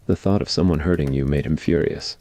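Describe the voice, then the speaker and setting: A man's voice: rumbling low, a little fast, dark tone. A man reading scripted story narration in an ASMR roleplay style.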